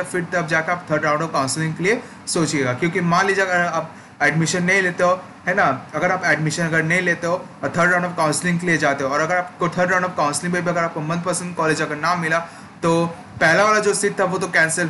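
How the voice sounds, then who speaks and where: Bright-voiced young man explaining steps. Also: on the high side, slight background noise. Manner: explanatory, repeats "ठीक है" check-ins. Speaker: education channel host. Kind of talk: screen-recorded tutorial walkthrough.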